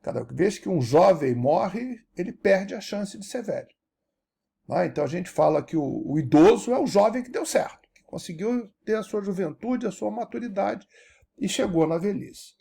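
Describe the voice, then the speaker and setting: A man, giving a lecture as an animated teacher who keeps checking that his audience follows. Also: high-pitched, moderate pace, creaky, husky. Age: 70s. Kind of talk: recorded university-style lecture.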